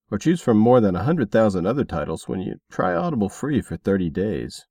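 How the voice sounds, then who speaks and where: Low deep guy doing a podcast intro, reading off a script, really dry recording. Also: darkish tone, dry recording. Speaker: podcast host. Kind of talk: scripted announcement and recap narration.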